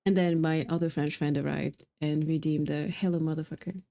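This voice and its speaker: A woman's voice: deep voice, steady tone, brisk, dark tone. A woman streamer giving casual, playful, informal live commentary on a game.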